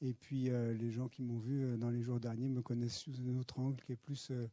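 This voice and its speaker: Middle-aged French-accented man, mellow dark voice, halting lecture delivery with frequent "euh", dry sound. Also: mid-range voice, flat delivery, dry recording. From France. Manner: hesitant, many fillers, friendly. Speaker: guest speaker, pediatric hematologist and researcher. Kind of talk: conference talk. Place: lecture hall.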